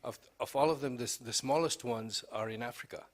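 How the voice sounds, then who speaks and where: A man's voice: mid-range voice, fairly quick. A man with a mid-range voice talks in an unscripted panel style. He explains and frames questions for other speakers. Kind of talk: spontaneous panel discussion.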